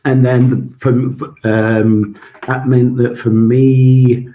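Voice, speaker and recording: A man in his forties who grew up speaking Swedish talks about his work as a teacher and author. He speaks reflectively, with frequent pauses and fillers, at an ordinary pitch. The sound is dull and a little lo-fi, like a remote call. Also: little pitch movement. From Sweden. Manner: thoughtful, many pauses and fillers. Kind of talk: interview, casual talk.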